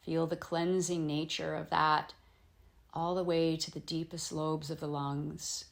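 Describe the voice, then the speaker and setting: Woman, low-pitched, very slow pace, gentle and soothing, guided meditation, yoga nidra teacher, pauses between phrases. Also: flat delivery, gravelly.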